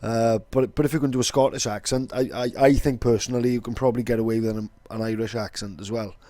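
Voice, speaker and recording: A young Welsh-accented man explains casually, rambling and swearing, with plenty of fillers. His voice sits at a middling pitch. The recording is dry and close, with no room echo. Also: steady tone, fast, warm tone. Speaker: vlogger.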